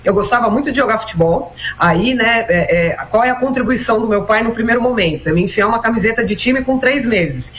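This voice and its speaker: A woman's voice: fairly high pitch, animated, a bit bright. Reflective woman in her forties. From Brazil. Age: 40s. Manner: measured, frequent "né" and "então" fillers. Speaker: former national team player, guest. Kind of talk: reflective interview answers.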